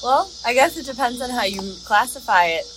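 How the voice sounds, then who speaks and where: A woman's voice: on the high side, lively intonation, brisk, noisy background. A young American woman answering interview questions in a chatty way, full of "like" fillers. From United States.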